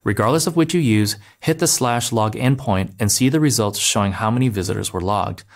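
A man giving a scripted, step-by-step narration of a software migration tutorial, speaking clearly and steadily as a voice-over. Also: on the low side, a little fast. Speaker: developer advocate. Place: voice-over over screen recordings.

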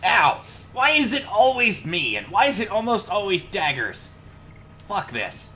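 A man chats and complains through a game playthrough. His voice is bright and lively, with an unhurried pace and plenty of swearing. Game music sits behind him. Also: sits high, slight background noise. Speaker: amateur voice actor in a game playthrough. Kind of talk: casual banter over game play.

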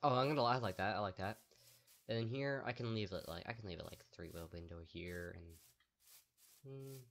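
A man's voice: lowish voice, fairly quick, gravelly, fairly bright tone, a little hiss or hum. A young man giving casual, upbeat gameplay commentary and thinking aloud with lots of fillers, his voice worn and strained from talking all day. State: voice tired and strained. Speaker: gaming YouTuber.

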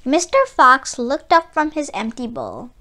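A woman reads a children's story aloud in a high voice. Her delivery is slow and expressive, with a lively rise and fall suited to young listeners. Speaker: children's book read-aloud narrator.

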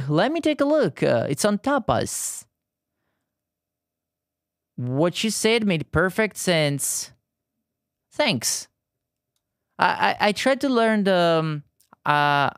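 A man's voice: fairly high pitch, clear, resonant tone, no room sound, studio quality. Italian man chatting while painting. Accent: southern Italian. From Italy. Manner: halting, frequent restarts and fillers, friendly. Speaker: art streamer. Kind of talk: casual live-stream chat while painting.

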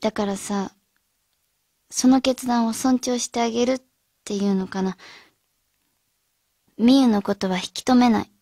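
Earnest teen schoolgirl club leader, radio drama, highish voice, very dry close sound. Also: fairly even intonation, unhurried, long pauses, dark tone, dry recording. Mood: earnest, gentle. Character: earnest teenage schoolgirl leading her radio club friends.

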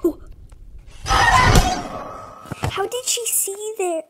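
Very high, youthful voice-changed gamer. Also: huge pitch swings, drawn-out words, whispered. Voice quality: high-pitched, youthful voice, altered with voice effects. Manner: dramatic, self-deprecating, playful. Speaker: gaming video creator. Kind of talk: casual gameplay commentary.

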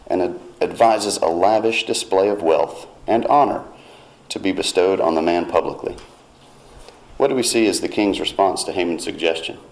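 A man preaching a sermon in church, retelling a scripture story in a measured way and reading verses aloud with rising emphasis. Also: low-pitched, a little mellow, poor audio.

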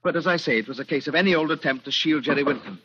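An actor playing a suave, sardonic villain with polished, formal speech in a 1940s radio drama. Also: male voice, a little high, racing, background noise, natural voice. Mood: scornful. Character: suave, scornful male villain hiding stolen money. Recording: dull, muffled old radio recording.

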